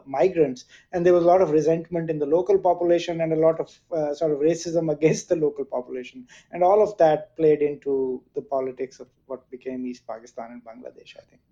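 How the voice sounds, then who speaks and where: A man talking as a guest, explaining in a measured, lecturing way with frequent hesitations. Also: on the high side, dark tone. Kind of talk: explanatory discussion. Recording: dull lo-fi audio.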